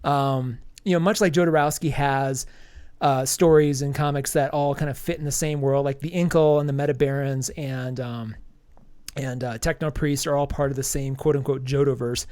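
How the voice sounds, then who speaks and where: A man's voice: medium pitch, steady tone, normal speed, a little mellow, smooth, dry recording. A man hosting a podcast, casually reviewing a comic with a stuffy nose and lots of ums and restarts. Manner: rambling, many ums and restarts.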